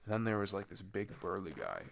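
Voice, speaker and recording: A young man playing a scared, grieving older teenage boy in an intimate audio roleplay, talking in a halting, casual, rambling way. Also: deep voice, very animated, gravelly, a bit bright, a little hiss or hum, exaggerated voice. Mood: uneasy, heavy. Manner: halting, rambling, casual youthful speech with sighs.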